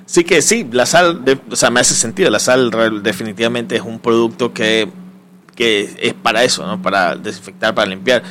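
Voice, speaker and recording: A man's voice: medium pitch, a bit bright. Male interview host over noticeable background noise. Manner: conversational, frequently seeks agreement with tag questions. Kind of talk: casual promotional interview.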